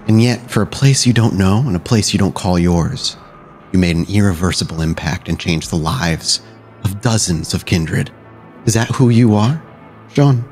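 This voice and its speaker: A man acts out a dead vampire elder haunting a mirror in a roleplay game. The voice is very low and grandiose, needling with pointed questions, over faint music. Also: moderate pace, a little mellow. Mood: goading, grand. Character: dead vampire sire as mocking, goading mirror reflection. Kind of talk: tabletop roleplay acting.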